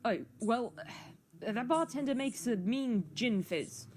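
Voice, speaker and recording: A woman's voice: on the high side, lively intonation, slow pace, darkish tone, slight background noise. A woman voicing an affable, tipsy, oblivious himbo in an amateur comic drama, with a genteel, befuddled manner. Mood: genial, tipsy.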